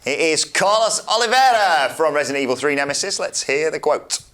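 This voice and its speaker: A woman hosting a playful game-show quiz, animated and theatrical in her delivery. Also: deep voice, fairly quick, music in the background. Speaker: quiz host and quote reader.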